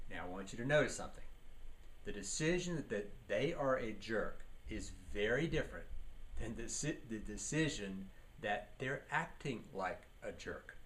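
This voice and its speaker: A man's voice: fairly low pitch, expressive, moderate pace. A man giving a leadership training lecture, teaching by posing questions and answering them, with emphasis on key words. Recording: clearly noisy recording.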